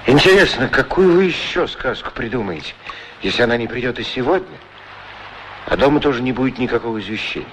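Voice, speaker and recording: Man as animated, fretful, lovesick shoeshine man. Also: mid-range voice, fast, lightly acted. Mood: grumbling, defensive. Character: lonely, fretful, lovesick middle-aged shoeshine man, proudly polite. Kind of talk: radio play acting. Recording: noisy 1960s radio recording.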